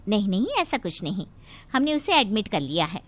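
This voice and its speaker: A woman's voice: mid-range voice, very animated, fairly quick, fairly bright tone. A woman voicing an explanatory, reassuring hospital doctor in a cartoon moral story. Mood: explaining.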